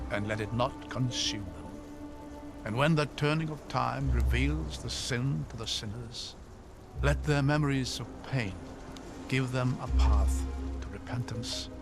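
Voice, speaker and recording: An actor playing a loyal, compassionate male travelling companion in a video game cutscene, earnest and expressive in his delivery. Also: medium pitch, natural voice.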